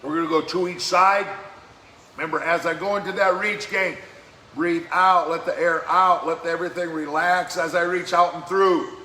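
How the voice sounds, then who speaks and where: A man leading a live-streamed workout as fitness coach, giving brisk, encouraging exercise cues in a slightly hoarse voice that keeps cracking. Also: a little high, fairly even intonation, bright, forceful tone. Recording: echoey room with faint background noise.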